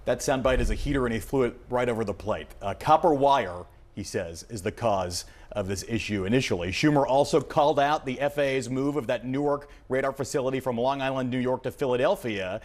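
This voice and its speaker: A man's voice: mid-range voice, brisk. An American man working as an aviation correspondent, explaining developments in a live news report with emphatic, punchy phrasing.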